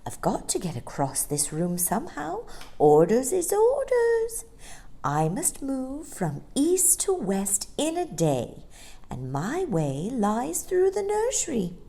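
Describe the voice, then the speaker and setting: A woman voicing the talking sunlight, soft and apologetic, in a storybook reading. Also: high-pitched, wildly swooping pitch, slow, pitched above the performer's own voice, exaggerated voice. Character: gentle, cheerful talking sunlight.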